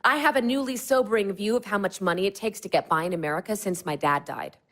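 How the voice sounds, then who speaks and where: An actress playing Suzanna, a sharp-tongued young wife who swears and snaps sarcastically in an audio drama. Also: female voice, medium pitch, fast, natural voice. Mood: sharp, sarcastic.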